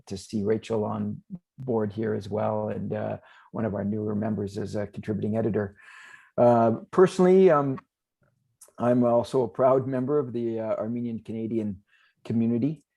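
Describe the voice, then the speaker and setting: Gravelly, mellow middle-aged man. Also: lowish voice. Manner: formal, filler-heavy. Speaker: former police chief. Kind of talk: panel introduction.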